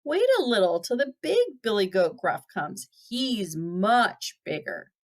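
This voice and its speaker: A woman's voice: medium pitch, very animated, slow. A woman voicing a middle-sized billy goat in a children's storybook, nervous and pleading.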